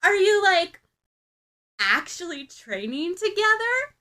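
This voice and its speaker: Storybook role: excitable schoolgirl best friend woman performer, teasing and pushy, very lively swooping delivery, bright tone. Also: extremely high pitch, slow, voice pushed up, full character voice. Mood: eager guessing. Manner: teasing, insistent, blithe. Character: nosy, excitable, plucky teenage schoolgirl friend.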